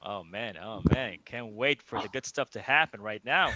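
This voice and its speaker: A man hosting an online contest with energetic, cheering delivery. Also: on the low side, normal speed, no echo, dull audio. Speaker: host. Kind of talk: hyped live-stream hosting.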